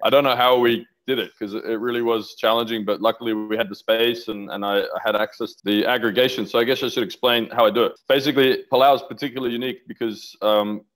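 A man's voice: mid-range voice, steady tone, fast, hardly any pauses, fairly bright tone, low-quality recording. A middle-aged man talking enthusiastically over a video call from a home office, rambling with restarts about his research. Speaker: interview guest, aquaculture researcher. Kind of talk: casual video-call interview. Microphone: headset on video call.

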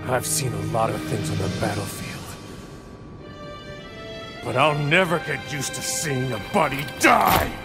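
An actor playing an earnest, battle-weary squad leader in video game cutscenes, urgent and commanding. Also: male voice, medium pitch, unhurried, gravelly, pauses often, loud music behind the voice. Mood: grave, determined.

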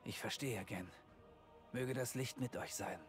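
An actor playing a formal, measured and earnest young king in a fantasy video game. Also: mid-range voice, faint music behind, natural voice. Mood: calm, supportive.

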